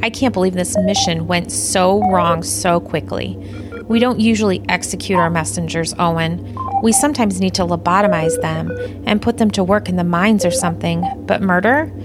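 A woman's voice: on the low side, normal speed, over music, natural voice. A woman playing an ambitious, practical alien officer in an audio play, speaking in a dry, matter-of-fact, officious way. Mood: dry, exasperated.

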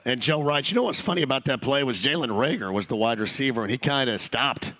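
A man hosting a sports talk radio show, hoarse after losing his voice, talking loosely with many restarts. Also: mid-range voice, fast talker, a bit bright. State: hoarse, lost voice.

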